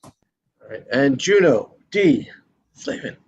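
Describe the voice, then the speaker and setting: A man's voice: mid-range voice, very animated, slow pace, a little mellow. A man hosting a casual online group chat in an effusive, encouraging way. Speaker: host of an online table read show. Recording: muffled video call audio, clear noise, clicks.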